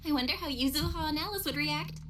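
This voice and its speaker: Woman as a very high-voiced, caring young girl. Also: fast talker, noisy background, close to an everyday voice. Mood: calm, curious. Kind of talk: anime-style game voice acting.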